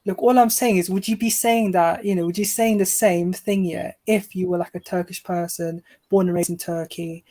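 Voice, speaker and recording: A woman's voice: fairly low pitch, steady tone, moderate pace, few pauses, warm tone. A woman on a live panel debate, speaking hesitantly with many "you know"s and restarts while she keeps asking for a chance to speak. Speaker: panel guest.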